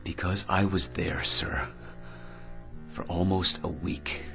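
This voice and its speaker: An actor with an American accent playing a shaken astronaut major in a radio drama, earnest and halting as he insists on being believed. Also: low-pitched, fairly even intonation, gravelly, bright, forceful tone, full character voice. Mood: haunted, subdued. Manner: earnest, halting with repeated words. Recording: radio drama with music underneath.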